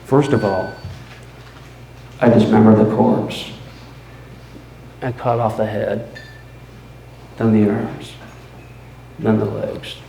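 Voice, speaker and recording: A man playing a boastful, unraveling mad murderer in a theatrical live reading of gothic horror, with dramatic pauses and swings between hushed and frantic. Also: fairly low pitch, fairly even intonation, vocal fry, voice pushed down. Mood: sly, gloating. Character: gleeful, boastful, unraveling mad murderer confessing his crime. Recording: echoey room with background noise.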